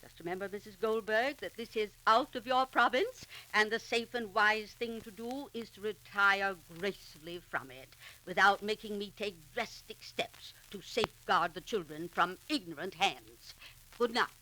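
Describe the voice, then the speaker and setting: Woman acting a sharp, officious society lady. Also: mid-range voice, normal speed, close to an everyday voice. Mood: scornful, threatening. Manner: clipped, lecturing. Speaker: actress in a radio serial. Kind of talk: 1940s radio acting. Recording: crackly 1940s radio recording.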